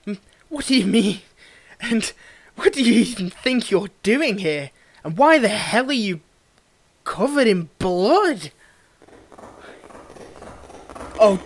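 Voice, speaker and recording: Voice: medium pitch, animated, measured pace, slight background noise. An actor playing a cold, condescending tsundere villain in a dramatic audio roleplay, taunting and theatrical as they address the listener directly. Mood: indignant, mocking. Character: cold, mocking tsundere villain, grudgingly tending a wounded hero.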